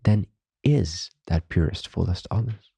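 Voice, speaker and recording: A man leading a guided meditation as a teacher, speaking softly, slowly and calmly in reverent phrases with long pauses. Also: low voice, creaky, breathy edge, no echo. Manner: calm, unhurried, reverent spiritual phrasing.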